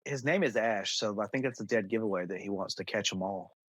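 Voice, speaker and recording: A man's voice: on the low side, fairly quick, breathy edge, no echo. A man co-hosting a podcast, casually speculating about a film in a wry way. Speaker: podcast co-host.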